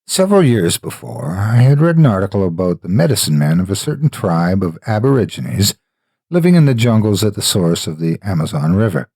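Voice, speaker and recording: Man, horror storyteller, raspy, dry close sound, theatrical, deliberate phrasing. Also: sits low, very animated, warm tone, no room sound. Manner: deliberate, dramatic storytelling with measured pauses. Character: a wealthy, cold, calculating gambler narrating his own experiment. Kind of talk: dramatic scripted narration.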